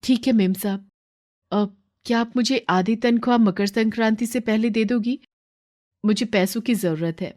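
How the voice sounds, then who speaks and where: A woman voicing a humble poor mother who works as a maid, gentle, earnest and deferential, in a story. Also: on the high side, normal speed, lightly acted. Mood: polite, hopeful.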